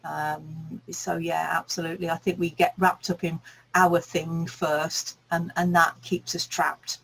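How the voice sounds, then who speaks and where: A woman's voice: low-pitched, flat delivery, a little slow, creaky, fairly bright tone, slight background noise, low-quality recording. A middle-aged woman from Birmingham with a light Birmingham accent, a coach talking as an interview guest in an earnest, encouraging way. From Birmingham, England. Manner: earnest, encouraging, explains at length. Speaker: guest speaking and sales coach. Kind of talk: reflective interview talk.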